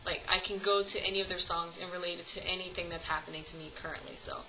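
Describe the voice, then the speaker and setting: A woman's voice: lowish voice, fairly even intonation, fast, sharp tone, dull audio, slight background noise. A woman in her twenties chatting casually to camera at home, rambling with lots of ums. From United States. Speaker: vlogger. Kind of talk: casual tag video chat. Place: home room, at home with cats.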